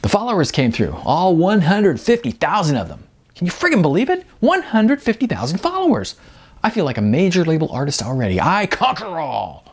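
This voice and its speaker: A man voicing a cocky, excitable young male musician in an audiobook, slangy and boastful. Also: fairly high pitch, fairly quick, breathy edge, voice pushed up, heavily acted. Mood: giddy bragging.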